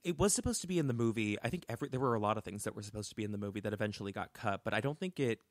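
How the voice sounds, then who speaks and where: Chatty low-voiced young man. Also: rapid-fire, dry recording. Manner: chatty, animated, full of "like" and restarts. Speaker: podcast co-host. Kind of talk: casual storytelling chat. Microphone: close studio mic.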